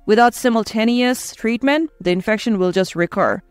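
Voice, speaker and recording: A performer voicing a compassionate yet direct doctor in a narrated story, formal and measured. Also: medium pitch, clear, resonant tone, no room sound, lightly acted. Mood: grave, direct. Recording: dry close voice over faint music.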